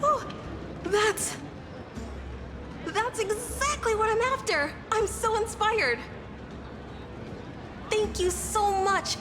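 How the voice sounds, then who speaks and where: Very high-voiced timid girlish poet. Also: slow pace, pauses often, noisy background. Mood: thrilled. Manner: shy stammer. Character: timid young female poet-historian, earnest and excitable. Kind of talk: anime game voice acting. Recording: music behind the voice. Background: game music.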